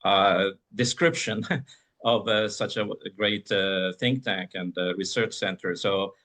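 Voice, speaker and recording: Halting man from Iraq, panelist. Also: deep voice, steady tone, bright, forceful tone. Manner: halting, hesitant phrasing. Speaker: panelist, geopolitical strategist. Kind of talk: panel discussion.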